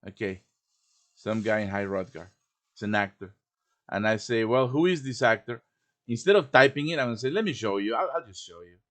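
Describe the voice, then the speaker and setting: A low male voice walks through a software task step by step in an informal way. He thinks aloud as he works, like a streamer giving a casual tutorial. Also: expressive, a little fast. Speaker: live stream host teaching quest making. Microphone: computer microphone on a live stream voice chat.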